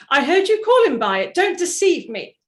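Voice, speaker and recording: Prim, commanding society mother, woman actor for a play reading; high, animated delivery. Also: unhurried, full character voice. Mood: insistent. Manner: prim, formal, imperious. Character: genteel mother, commanding, politely insistent. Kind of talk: theatrical staged play reading.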